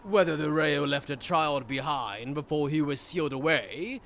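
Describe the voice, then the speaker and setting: A man acts out a smug noble villain for an anime dub, in a slightly high male voice. He is formal, theatrical and taunting, with faint background ambience under the words. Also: moderate pace, a bit bright, slight background noise. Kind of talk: anime fan dub acting.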